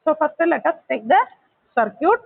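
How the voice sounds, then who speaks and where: A woman giving a technical lecture, explaining step by step in short, halting phrases. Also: high voice, animated, creaky. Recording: tinny, phone-like narrowband audio.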